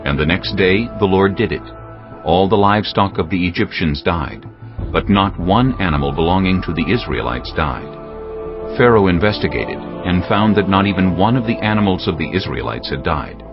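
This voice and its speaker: A man's voice: low voice, lively intonation, normal speed. A man narrating scripture from a script as the storyteller of a dramatized audio production. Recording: dull band-limited audio under music. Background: music.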